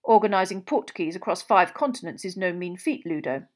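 A woman's voice: mid-range voice, a little fast, lightly acted. A woman voicing a stiff, impatient elderly man, a wizard official with a dry, clipped, formal manner, in an audiobook. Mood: dry, stern.

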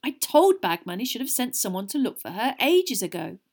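A woman's voice: a little high, big pitch swings, normal speed, darkish tone. A woman voicing a tired, irritable middle-aged father in a storybook reading. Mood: weary. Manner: weary, grumbling.

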